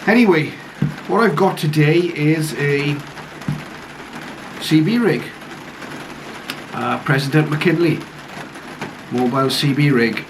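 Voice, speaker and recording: A man giving a casual, low-key first-look commentary on a piece of equipment, speaking hesitantly and without hurry. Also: takes it slowly, long pauses. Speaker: radio hobbyist reviewer. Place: conservatory, later a home radio room. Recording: clearly noisy home recording.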